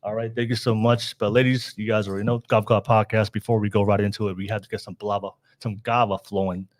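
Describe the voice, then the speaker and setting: A man's voice: fairly low pitch, flat delivery, fast talker. A man hosting a casual podcast panel, upbeat and chatty, calling out to his live chat. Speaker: podcast host. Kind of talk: casual hosted panel talk.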